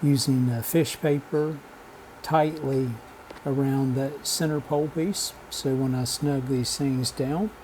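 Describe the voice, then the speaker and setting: A man narrating a vintage radio repair as a relaxed how-to voice-over, matter-of-fact and unhurried, with frequent "uh" pauses. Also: mid-range voice, slight background noise, rough recording. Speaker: radio restoration hobbyist.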